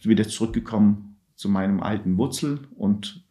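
Halting middle-aged man, lower voice. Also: mellow. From Germany. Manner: hesitant, many filler sounds, halting restarts. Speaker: ward manager on an addiction ward. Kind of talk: podcast interview answers.

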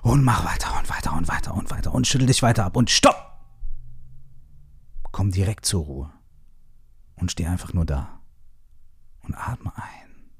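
A man leading a guided shaking meditation, giving repetitive instructions in a soft, partly whispered voice, with some casual swearing. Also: sits low, expressive, moderate pace, long pauses, breathy edge, dry recording. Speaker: movement exercise guide.

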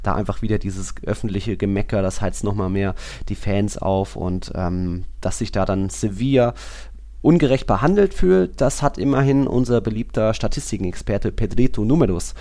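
A man's voice: fairly low pitch, normal speed, dark tone. A man with a casual, conversational delivery, talking as a host on a football podcast.

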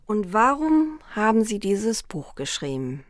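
Measured woman interviewer, formal questions. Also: mid-range voice, big pitch swings, no echo, clean studio sound. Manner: measured, formal, reads quotes aloud.

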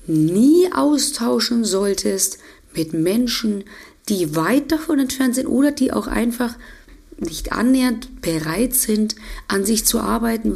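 A woman with a German accent hosting her podcast as an intuitive eating coach, slightly hoarse from a cold, chatty and often restarting her sentences. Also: mid-range voice, darkish tone. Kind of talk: casual solo podcast talk.

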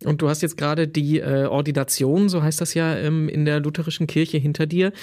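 Slightly high-voiced male podcast host. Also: flat delivery, brisk, darkish tone. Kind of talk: conversational interview.

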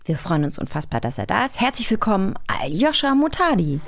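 A woman's voice: medium pitch, sing-song, brisk, background noise. A woman chatting casually as a podcast co-host. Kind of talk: casual chat.

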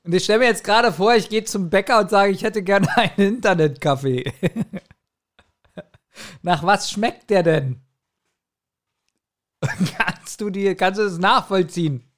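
A man's voice: high voice, unhurried, long pauses. A man chatting casually as a podcast co-host, playful, teasing and given to musing aloud. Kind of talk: casual chat.

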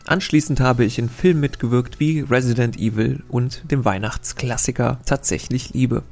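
A man's voice: mid-range voice, creaky, a little mellow. A man hosting a casual quiz podcast, reading out riddles and wandering into chatty, self-correcting asides.

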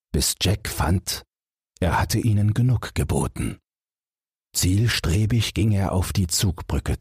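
A man narrating a bedtime fairy-tale audiobook from a script, in a measured, expressive storytelling manner. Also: low voice, slightly rough, no room sound, studio quality.

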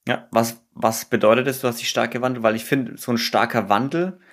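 A man's voice: mid-range voice, steady tone, a little fast. A man hosting a conversational interview, putting questions and thoughts to a guest. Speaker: podcast host.

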